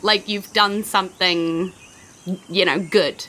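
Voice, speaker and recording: A woman's voice: mid-range voice, steady tone, slow pace, bright, forceful tone. A woman with a New Zealand accent, running a tabletop game and painting scenes for her players with lots of "like" and "you know". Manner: vivid, searching descriptions full of "like" and "you know". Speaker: game master.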